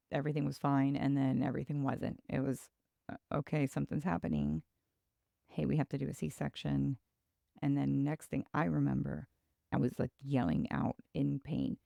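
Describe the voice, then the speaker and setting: A podcast host from Ecuador, a woman telling her own story in a reflective, halting way with pauses and restarts. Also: a bass voice, creaky, dark tone, no echo. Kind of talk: personal storytelling.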